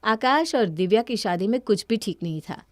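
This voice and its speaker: A woman's voice: medium pitch, expressive, moderate pace, darkish tone, no echo, studio quality. A woman reading scripted story narration.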